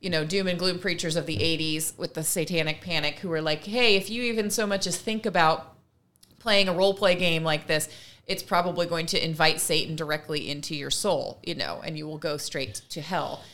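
A woman's voice: deep voice, steady tone, brisk, fairly bright tone. A woman co-hosting a podcast, explaining her views casually through examples, with wry asides. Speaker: podcast co-host. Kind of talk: casual conversational commentary.

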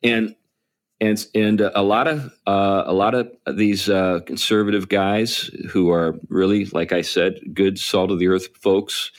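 A man in a casual discussion, talking haltingly with many fillers and restarts, chuckling now and then. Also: sits low.

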